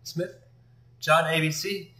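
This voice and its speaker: A man voicing an affected, foppish gentleman who rambles and dodges questions. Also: fairly high pitch, steady tone, takes it slowly, noisy background, pitched below the performer's own voice. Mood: evasive. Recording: echoey room, mic far off.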